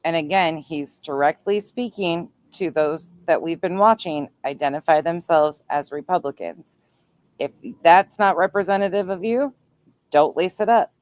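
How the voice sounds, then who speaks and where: A woman's voice: fairly low pitch, normal speed, a little mellow. A woman giving casual reaction commentary in an emphatic, colloquial way.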